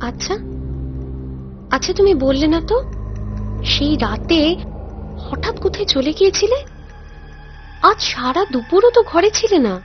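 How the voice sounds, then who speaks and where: An actress as an anxious cartoon village wife, always questioning. Her plaintive voice is extremely high, and the recording is dull with music clearly behind it. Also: lively intonation, measured pace, long pauses. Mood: curious. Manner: plaintive, fretful. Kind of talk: cartoon voice acting in Bengali. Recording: dull, band-limited audio under music.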